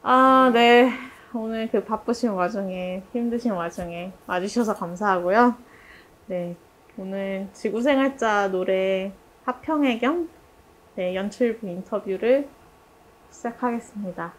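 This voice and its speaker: A woman's voice: medium pitch, a little slow, low-quality recording. A woman hosting a casual team discussion as a director, chatty and polite, thinking aloud as she talks. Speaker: theatre director hosting a team review interview.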